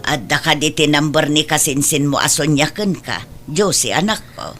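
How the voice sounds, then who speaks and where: A woman's voice: low voice, moderate pace, over loud music, natural voice. A woman playing a concerned, meddling middle-aged aunt in an Ilocano audio drama, fretful and chiding, with an Ilocano accent.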